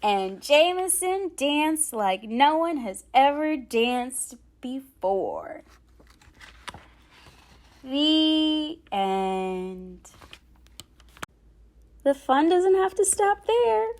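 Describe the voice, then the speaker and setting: Animated high-voiced woman reading to children. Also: drawn-out words. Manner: animated, dramatic phrasing, clear enunciation for children. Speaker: children's read-aloud storyteller. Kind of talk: expressive picture book read-aloud addressed to children.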